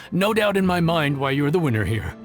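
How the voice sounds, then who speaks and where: Friendly folksy game cook, really animated, music underneath. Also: male voice, a little high, normal speed. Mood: friendly, impressed. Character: genial, gracious male inn cook praising his rival. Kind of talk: video game voice acting.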